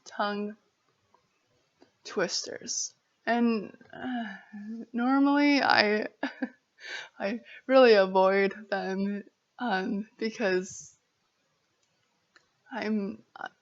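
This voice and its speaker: An American woman vlogger from Arizona with a stutter, chatting casually to camera in a halting, apologetic, giggly way. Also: medium pitch, dull audio. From Arizona, United States.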